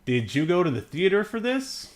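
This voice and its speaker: A man's voice: sits high, very animated, normal speed. A man around his thirties or forties chats casually as a podcast co-host, joking and meandering through his thoughts. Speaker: podcast co-host and film reviewer. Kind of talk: casual unscripted film discussion.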